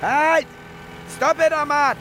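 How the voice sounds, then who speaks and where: An actor playing a cynical, brash fake war reporter in a radio crime drama, speaking in a sardonic, colloquial, commanding way. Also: male voice, very high voice, fairly even intonation, slow, noisy background. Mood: shouting orders. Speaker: protagonist and first-person narrator. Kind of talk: radio crime drama acting with casual narration. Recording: music behind the voice.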